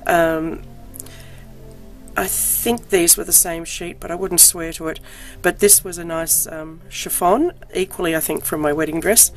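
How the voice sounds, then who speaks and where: A middle-aged woman with a croaky voice from being unwell, chatting casually about her craft projects with lots of ums. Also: fairly low pitch, fairly even intonation, measured pace, faint music behind. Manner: chatty, frequent ums, self-deprecating. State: croaky voice from illness. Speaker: crafting vlogger. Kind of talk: casual show-and-tell.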